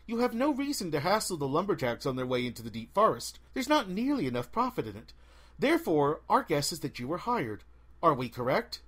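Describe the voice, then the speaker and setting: A man's voice: fairly high pitch, very animated, a little fast, fairly bright tone. A man voicing a polite, earnest young woman adventurer who reasons in precise, formal sentences in a fantasy storybook. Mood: calm questioning.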